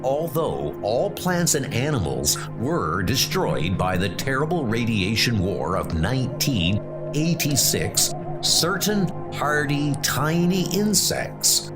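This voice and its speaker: A man's voice: medium pitch, wildly swooping pitch, takes it slowly, music in the background. A man narrating a comic-book story in a theatrical, emphatic, scripted style.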